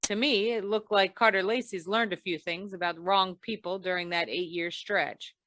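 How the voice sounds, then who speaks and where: A woman playing a tough, wry female insurance investigator in an old-time radio noir, clipped, deadpan and probing. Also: mid-range voice, normal speed, dry recording, close to an everyday voice, poor audio.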